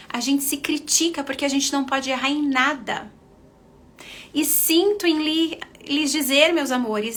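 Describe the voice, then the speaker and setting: A woman's voice: high-pitched, a bit bright. A middle-aged Brazilian woman with a Brazilian Portuguese accent, a confidence coach chatting casually to her viewers, addressing them as friends. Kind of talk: casual livestream talk to followers.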